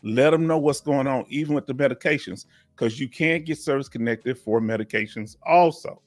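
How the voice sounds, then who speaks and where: A man's voice: mid-range voice, moderate pace, faint music behind. A man in his fifties hosting a casual live Q&A, talking folksy and loose, repeating himself and urging viewers to speak up. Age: 50s. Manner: folksy, repeats himself, coaxes the audience to join. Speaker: livestream host and veteran claims advisor.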